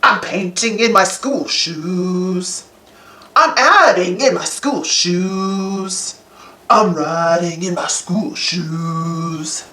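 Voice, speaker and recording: A woman's voice: lowish voice, drawn-out words, pushed and bright, a little hiss or hum, voice pushed down, heavily acted. A woman voicing a cheerful, easygoing young male cat from a children's storybook, chanting in a repetitive sing-song. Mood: carefree, upbeat. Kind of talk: children's storybook character singing. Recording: echoey, distant, with faint music.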